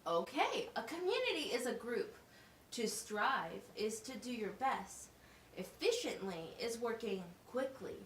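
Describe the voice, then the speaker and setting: High, lively woman teaching in a roomy space. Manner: instructive, simple wording with deliberate enunciation. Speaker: puppeteer playing a teacher. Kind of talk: slow, scripted classroom puppet show. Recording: roomy, distant mic with faint noise.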